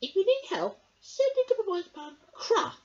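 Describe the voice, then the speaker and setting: A high, shrill storybook witch, knowing and teasing. She gives orders in an emphatic sing-song. The sound is a touch lo-fi. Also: big pitch swings, normal speed, darkish tone. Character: knowing cave-dwelling witchy sister, brisk and teasing. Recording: dull lo-fi home recording.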